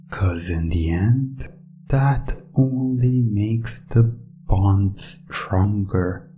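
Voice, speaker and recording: Very slow, low, creaky hypnotist voice. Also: dark tone. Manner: slow, commanding, coaxing delivery with deliberate pauses. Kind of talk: hypnosis ASMR.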